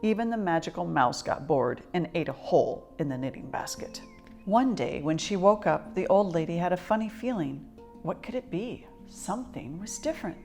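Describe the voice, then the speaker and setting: A woman with a lowish voice reads a children's storybook as storyteller. Her reading is expressive, measured and articulate, with faint music around it.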